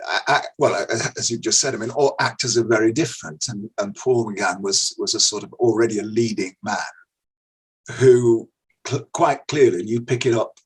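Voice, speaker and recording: Husky older man, chatting. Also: on the low side, normal speed, low-quality recording. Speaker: actor being interviewed. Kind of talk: casual anecdotal chat.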